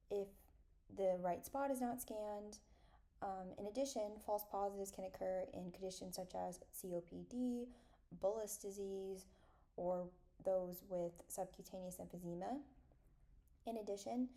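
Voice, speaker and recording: A young adult woman giving a scripted academic medical presentation in a measured, explanatory way. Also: mid-range voice, vocal fry, warm tone.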